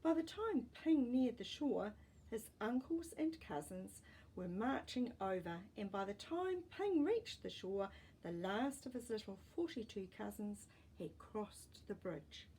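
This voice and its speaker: Female storyteller for children's picture books, dark mellow timbre, mid pitch, expressive and deliberate, slight background noise. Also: rough recording. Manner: deliberate, expressive phrasing for young listeners. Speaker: storytime host reading a children's book. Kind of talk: read-aloud children's storytelling with asides.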